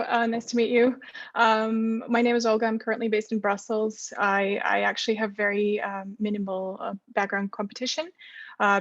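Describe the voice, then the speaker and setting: A woman with a mid-pitched voice and little variation in tone introduces herself casually. The online call audio is slightly dull. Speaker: course participant, former lawyer.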